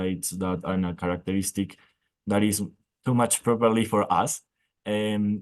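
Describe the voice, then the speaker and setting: A man with a Colombian Spanish accent presents his slides at a panel, speaking English as a second language. His voice sits low, and he talks haltingly, restarting and doubling words as he feels for each phrase.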